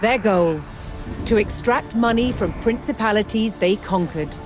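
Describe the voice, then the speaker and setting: A woman reads scripted historical narration for a game in a measured, dramatic storytelling manner, with deliberate pauses. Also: medium pitch. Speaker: documentary-style game narrator. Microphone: studio voice-over microphone. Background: music.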